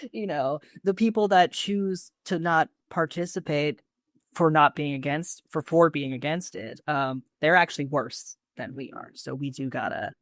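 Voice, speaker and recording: An American woman with a low-pitched voice co-hosts a casual recap podcast. She leans into sarcasm, as if selling something absurd. The recording is dry and close. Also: dry recording. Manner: sarcastic, wry mock-persuasion. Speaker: podcast co-host. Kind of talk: casual TV and film recap chat.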